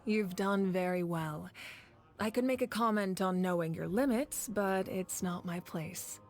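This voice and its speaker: A woman voicing a calm, dignified leader in a video game, speaking in a measured, formal, kindly manner. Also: mid-range voice, lightly acted. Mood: gently approving.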